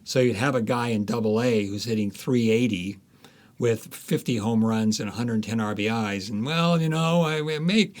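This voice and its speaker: A man speaking as an interviewed author, telling stories conversationally with animated retellings and quoted asides. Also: mid-range voice, moderate pace.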